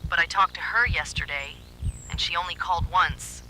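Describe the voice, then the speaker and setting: A man's voice: on the high side, normal speed, pushed and bright, a little hiss or hum, poor audio. A man voicing a frightened young woman in a horror story, shaken and insistent. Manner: fearful, insistent.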